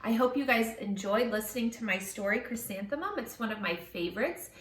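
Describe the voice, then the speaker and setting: A woman reading a children's story aloud with expressive narration. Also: on the high side, fairly quick, slight background noise. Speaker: storybook reader. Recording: echoey room, distant mic.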